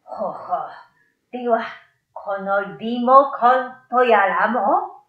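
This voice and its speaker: A woman voicing a curious, mild old male yokai who is easily amazed, with drawn-out, old-fashioned elderly speech in a storybook reading. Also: fairly high pitch, roomy sound, phone-quality sound. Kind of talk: storybook radio drama reading.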